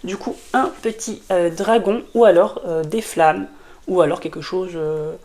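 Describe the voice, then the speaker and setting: A woman's voice: on the low side, steady tone, a little slow, mellow. A woman reviewing a fantasy novel in a casual vlog, with a sore throat from a cold and a rambling, filler-heavy way of talking. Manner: chatty and rambling, many fillers and restarts. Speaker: book vlogger. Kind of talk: casual book review to camera.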